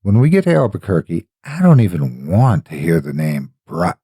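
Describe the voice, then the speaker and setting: A man's voice: low-pitched, huge pitch swings, normal speed, mellow, slightly rough, no echo, clean studio sound, heavily acted. A man voicing a blunt, impatient young woman in an audio horror story, brusque and argumentative. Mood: exasperated, scolding. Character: blunt, foul-mouthed young woman friend, impatient and protective.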